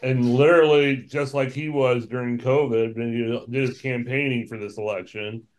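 A man's voice: medium pitch, fairly even intonation, moderate pace, rough recording. Matter-of-fact male podcast co-host. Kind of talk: casual political chat.

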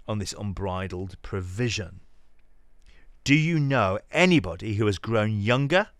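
A man giving a dramatic reading of a sermon, theatrical and exhorting, addressing the listener directly with deliberate pauses. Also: on the low side, no room sound, clean studio sound. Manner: direct rhetorical questioning of the listener. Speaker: podcast host reading scripture.

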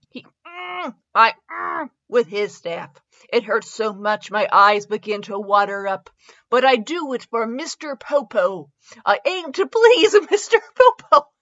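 A high-voiced woman gives a comedic dramatic reading at an unhurried pace. She is giggly and mock-dramatic, often interrupting herself with casual asides, and the sound is slightly dull and lo-fi. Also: a bit bright. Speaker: podcast host reading fanfiction.

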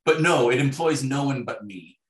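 A man in his fifties speaking as an author being interviewed, in a thoughtful, halting way with repeated words. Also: mid-range voice. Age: 50s. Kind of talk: reflective conversational interview. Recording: echoey, roomy sound.

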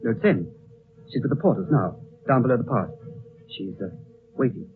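A man's voice: mid-range voice, animated, normal speed, lightly acted. Guy acting impatient young man, old 1930s radio play, urgent and defiant, tinny sound with some hiss. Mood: defiant, agitated.

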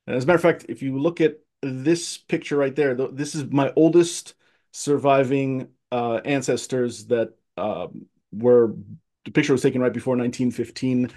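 A man from Egypt speaks as a guest on a video call, with a mid-range voice. He sounds earnest and thoughtful, often restarting sentences and filling pauses as he explains. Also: medium pitch, fairly even intonation, warm tone. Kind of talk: casual conversation, personal history.

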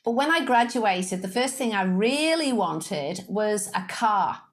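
A woman's voice: medium pitch, animated, measured pace. A woman in her fifties with a slight Liverpool accent, telling her story as a guest in a casual interview, in a chatty, self-mocking way. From Liverpool, England. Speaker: guest, sales coach.

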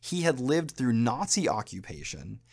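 An American man hosting a podcast, talking through a subject in a thoughtful, measured way with pauses. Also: mid-range voice, very animated, darkish tone. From United States. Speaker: podcast host. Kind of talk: explanatory podcast talk.

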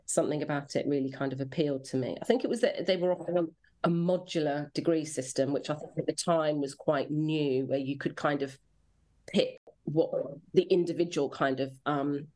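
An English woman with a low-pitched voice talks casually as an interview guest. She is chatty and self-deprecating, looking back in a reflective, conversational way. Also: fairly quick, a little mellow, poor audio. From rural Devon, England. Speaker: interview guest, children's drama commissioning editor.

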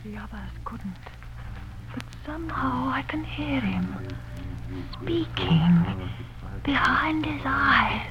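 Entranced young bride, very high voice, intense, dramatic, old radio play, heavy noise. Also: female voice, animated, slow pace, long pauses, dull audio, heavily acted. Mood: entranced whisper. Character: young bride under a vampire's spell, frantic. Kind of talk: 1930s radio drama acting. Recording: very noisy old radio recording.

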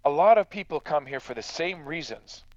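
An actor playing a stern, practical farmer in a radio drama, blunt and admonishing but fair-minded. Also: male voice, on the high side, expressive, normal speed, darkish tone, dull audio, slight background noise, natural voice. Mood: stern, dismissive. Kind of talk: true crime radio drama acting.

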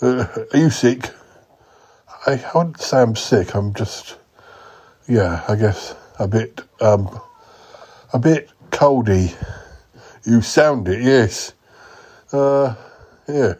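A man's voice: medium pitch, lively intonation, takes it slowly, pauses often, darkish tone, husky. A man with a summer cold, hosting a comedy podcast with fretful asides. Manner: fretful, sighing "oh dear" asides. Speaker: podcast host. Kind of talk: comedy podcast monologue and sketch.

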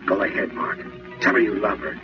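A man acting a prodding male conscience in a vintage radio play, low-voiced and insistent. He sounds distant and muffled, with music behind him. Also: brisk, lightly acted. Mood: goading, insistent.

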